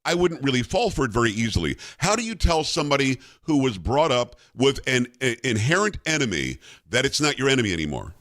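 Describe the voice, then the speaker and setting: A man's voice: medium pitch, big pitch swings, fairly quick, few pauses, fairly bright tone. A man hosting an interview, asking questions conversationally and rephrasing as he goes. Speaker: host.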